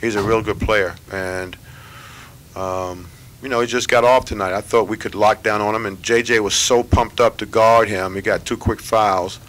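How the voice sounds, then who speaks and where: Middle-aged coach, low bright voice. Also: male voice, fairly even intonation, rough recording. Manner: measured, thinking aloud with many fillers. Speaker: head basketball coach. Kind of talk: postgame press conference answers. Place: press room with sponsor backdrop. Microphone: table microphones at a press conference.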